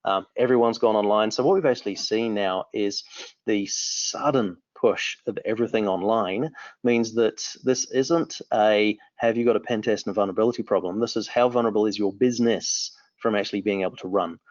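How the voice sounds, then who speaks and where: A New Zealand man in his forties talks with eager energy, often restarting his sentences. His voice sits fairly low. The audio is dry and a little dull, as if captured on a modest mic. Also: fairly quick, no room sound. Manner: enthusiastic, rambling with frequent restarts. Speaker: company founder. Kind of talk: remote interview answers.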